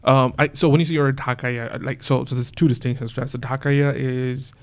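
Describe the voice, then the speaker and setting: A man in a mid-range voice hosts a relaxed interview. He circles around his questions, stacking fillers and restarting sentences before landing on the point. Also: mid-range voice, fairly quick. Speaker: podcast host.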